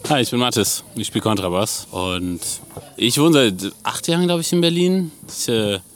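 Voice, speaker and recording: A man who plays double bass in a band, talking casually and thoughtfully in an interview. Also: expressive, normal speed, slight background noise.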